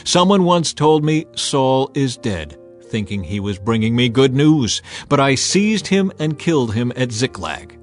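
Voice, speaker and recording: Guy doing a stern old-testament king, declamatory, medium pitch, audiobook with music. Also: big pitch swings, unhurried, hardly any pauses. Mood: stern, indignant. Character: stern, righteous warrior king of ancient Israel.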